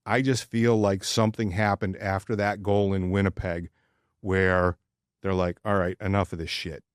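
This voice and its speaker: Low-voiced man talking hockey. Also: little pitch movement, moderate pace, clean tone, no room sound, studio quality. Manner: opinionated, informal, swearing. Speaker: sports podcast co-host. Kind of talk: casual sports chat.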